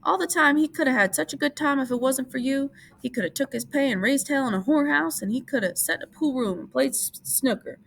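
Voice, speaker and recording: A woman's voice: sits high, a little fast, vocal fry, hardly any pauses, a bit bright, voice pushed up, full character voice. A woman playing a stern, disapproving old aunt who nags and scolds, in a storybook reading. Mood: scolding. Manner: scolding, nagging.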